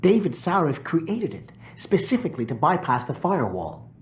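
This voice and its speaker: An actor playing a grudging, smug corporate tech expert in a video game, deliberate and sarcastic. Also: male voice, on the high side, wildly swooping pitch. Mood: suspicious. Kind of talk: video game cutscene acting. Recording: background noise.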